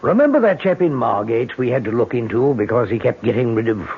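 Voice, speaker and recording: Gravelly, wry police inspector. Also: male voice, mid-range voice, animated, a little fast. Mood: wry, musing. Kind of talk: 1970s radio drama acting. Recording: tinny 1970s radio broadcast.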